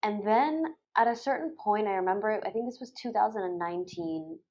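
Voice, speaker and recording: A woman's voice: mid-range voice, fairly quick, a little mellow. A young woman from Egypt and Thailand telling her story informally as a guest, often tossing in "like" and "you know.". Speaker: podcast guest, storyteller and photographer. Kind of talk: casual conversational storytelling.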